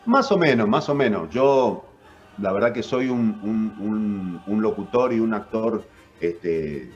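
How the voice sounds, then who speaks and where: An Argentine man whose accent blends the north with Córdoba explains his work in a measured, chatty way, dropping in fillers and little tag questions. His voice sits mid-range and the recording sounds dull and lo-fi. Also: on the low side, expressive, a little mellow, soft background music. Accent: Argentine, northern mixed with Córdoba. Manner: explanatory, with frequent fillers and "¿no?" tags. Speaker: voice actor and announcer. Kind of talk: radio interview guest.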